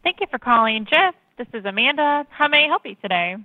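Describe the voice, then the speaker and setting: A woman's voice: a little high, big pitch swings, brisk, very noisy. A woman in customer service speaking politely and patiently, explaining things over the telephone. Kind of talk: polite scripted phone service talk. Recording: thin, narrow telephone line.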